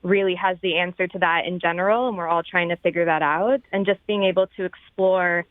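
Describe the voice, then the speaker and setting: A woman's voice: on the low side, fairly even intonation, fairly quick, dry recording. A woman with an American accent speaking conversationally as an interview guest over a remote line. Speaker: theater producer interview guest. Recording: dry, phone-like remote line.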